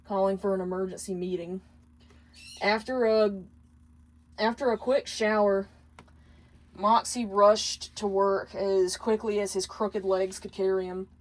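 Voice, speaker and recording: A woman's voice: mid-range voice, darkish tone, rough recording. A woman reading a story aloud as scripted narration, occasionally stumbling and correcting her words. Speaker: fan fiction reader.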